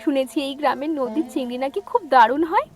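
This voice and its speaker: A woman's voice: high-pitched, expressive, quick pace. A woman playing a polite, eager young bride in a cartoon family story, respectful and keen to please. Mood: polite eagerness. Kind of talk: animated family story dialogue.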